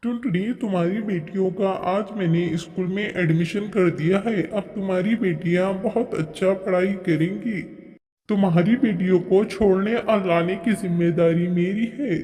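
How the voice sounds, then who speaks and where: A man's voice: sits high, normal speed, mellow, close to an everyday voice. A man voicing a tired old male eagle in a cartoon, grumbling and commanding. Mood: kindly. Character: tired old male eagle, gruff yet kindly, demanding. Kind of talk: Hindi moral-story cartoon voice acting. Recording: long hall reverb.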